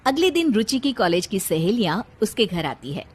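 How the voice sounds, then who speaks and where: A woman's voice: mid-range voice, very animated, normal speed, breathy edge. A woman narrating a scripted cartoon family story in the role of storyteller.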